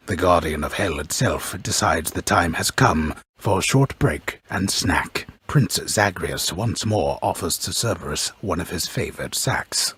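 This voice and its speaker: Game narrator: a grave mythic storyteller, extremely low and very husky, pompous, wry, ornate delivery. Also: male voice, no room sound, heavily acted. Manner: solemn, deliberate, ornate, wryly deadpan. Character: grave, pompous old storyteller narrating Greek myth, wryly ominous. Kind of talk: scripted dramatic storybook narration.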